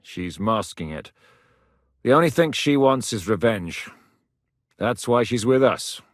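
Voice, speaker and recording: A man voicing a blunt, steady mercenary captain in a video game, gruff and plain-spoken, recorded in a dry studio booth. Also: mid-range voice, a little slow, no echo, lightly acted. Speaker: mercenary leader.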